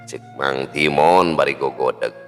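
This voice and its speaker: A very low-voiced man narrates a folk tale with a Sundanese accent. His voice sits in a long hall reverb, with music playing underneath. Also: unhurried. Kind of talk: dramatic storytelling narration.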